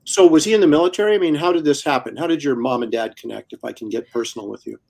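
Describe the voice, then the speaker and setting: An older man in his sixties hosts a relaxed live stream, keeping up a chatty, easygoing talk. He trades friendly questions with his guest and reads out comments from viewers as they come in. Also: medium pitch, very fast, vocal fry. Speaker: host. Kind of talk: casual live-stream chat.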